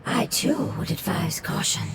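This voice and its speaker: A woman's voice: a little slow, very noisy, lightly acted. An actress playing a cautious adult woman, earnest and wary. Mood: serious. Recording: echoey, distant, loud game music.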